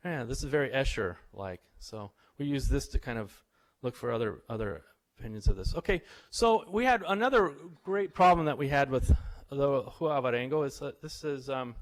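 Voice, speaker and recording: A middle-aged man lecturing to a live audience in a relaxed, anecdotal way. He wanders through stories, restarts his sentences and keeps checking in with listeners. His voice sits at an ordinary, mid-range pitch for a man. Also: normal speed, hardly any pauses. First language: English. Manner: chatty, anecdotal, asks the audience questions. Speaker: visiting professor giving a talk.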